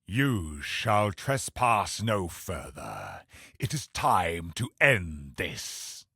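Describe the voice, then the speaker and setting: An actor playing an ancient, imperious male cosmic villain in a video game, older and husky, with portentous, theatrical delivery. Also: sits low, very animated, bright, forceful tone, no echo, studio quality, full character voice. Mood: cold menace. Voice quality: gravelly, husky. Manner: slow, portentous, theatrical pronouncements.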